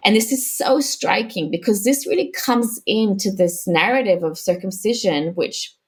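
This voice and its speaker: A woman's voice: mid-range voice, breathy edge. Thoughtful woman academic from Israel. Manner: thoughtful, halting, with frequent restarts and repeated words. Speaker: sociologist guest. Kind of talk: academic interview.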